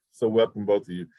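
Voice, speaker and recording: A man hosting a webinar over a video call, in a relaxed, folksy, chuckling manner. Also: lowish voice. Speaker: webinar host. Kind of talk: casual chat.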